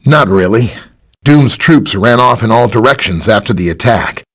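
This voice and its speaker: Lively, husky superhero scientist. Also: male voice, on the low side, moderate pace. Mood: matter-of-fact. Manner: casual, conversational, explanatory. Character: friendly, knowledgeable male superhero scientist, easygoing ally. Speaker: video game voice actor playing a superhero scientist. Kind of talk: video game character dialogue, briefing and greeting.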